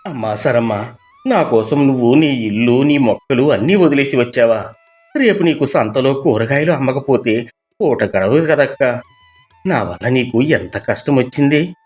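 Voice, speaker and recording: A man's voice: mid-range voice, expressive, moderate pace, darkish tone, soft background music, lightly acted. A man playing a weak, grateful younger brother in a poor village, plaintive and affectionate, in a storybook tale. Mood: weak, guilty.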